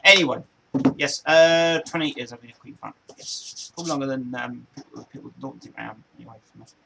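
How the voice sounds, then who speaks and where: A man in his forties, from England, chatting as a show host in a rambling, easygoing way. His voice sits at a middling pitch with a lot of gravelly fry. There is a faint hiss of noise behind him. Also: a little fast, bright, forceful tone, rough recording. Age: 40s. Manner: loose, meandering, repeats himself and restarts often. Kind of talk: rambling casual chat.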